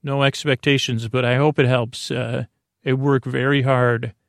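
A middle-aged man with a creaky, gravelly voice rambles softly through a bedtime podcast monologue and keeps interrupting himself. His pitch sits in an ordinary male middle range, and the recording is dry and close. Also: flat delivery, measured pace, dry recording. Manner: meandering, self-interrupting, soft-spoken. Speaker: podcast host.

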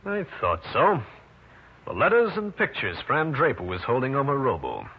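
A man's voice: medium pitch, huge pitch swings, normal speed, background noise. A man playing a tough, wry, unruffled private detective with an American accent, in an old-time radio drama. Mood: accusing, cool. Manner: wry, unruffled, hard-boiled. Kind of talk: 1940s or 1950s radio detective acting. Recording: muffled old radio recording.

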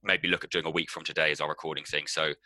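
Low-voiced man chatting casually. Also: little pitch movement, fast, sharp tone. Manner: rambling, sweary, many ums. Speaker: podcast co-host. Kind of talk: casual music podcast chat.

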